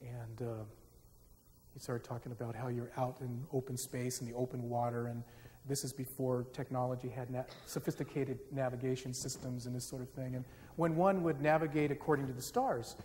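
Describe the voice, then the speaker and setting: A middle-aged man gives a public lecture on stage in a large dark auditorium, speaking into a podium microphone in a conversational, self-deprecating way. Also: medium pitch, steady tone, a little fast. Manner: conversational, self-deprecating, gets the audience singing. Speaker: forum speaker. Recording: reverberant hall with faint hiss. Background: live audience.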